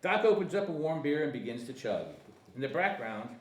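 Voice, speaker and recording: A man's voice: fairly high pitch, very animated, a little fast, far from the mic. A man reading stage directions at a comedy screenplay table read, sometimes stumbling over words. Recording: echoey room with faint noise.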